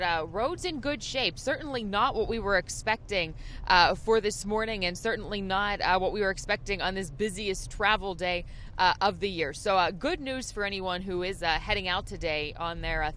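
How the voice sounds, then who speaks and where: Woman, live TV road reporter, bright sharp voice, frequent hesitations, light road noise behind. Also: medium pitch, normal speed. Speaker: field news reporter. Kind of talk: live TV traffic and weather report. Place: inside a moving vehicle on the road.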